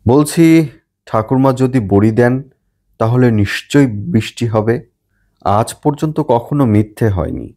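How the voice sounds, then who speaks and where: A man's voice: mid-range voice, expressive, measured pace, dark tone. A man voicing a weary, pleading young married woman in an audiobook story. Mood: urgent, excited.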